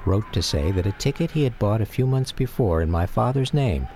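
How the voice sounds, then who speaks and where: Low-voiced American man narrating a radio memoir. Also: lively intonation, brisk, noisy background.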